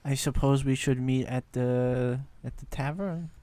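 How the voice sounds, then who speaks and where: A man talks casually as a player at a tabletop game. His voice sits at an ordinary middle pitch, and his style is conversational. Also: fairly even intonation, measured pace, warm tone, no room sound.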